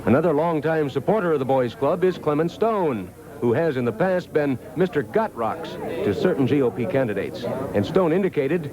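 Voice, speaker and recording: A man's voice: on the high side, animated, normal speed. An American man narrating a scripted television news report. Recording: loud crowd noise behind voice. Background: busy reception crowd.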